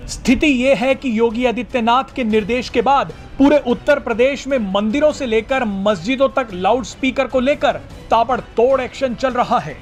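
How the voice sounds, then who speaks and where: Male news narrator, very high pitch, scripted report delivery, faint music underneath. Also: normal speed, few pauses.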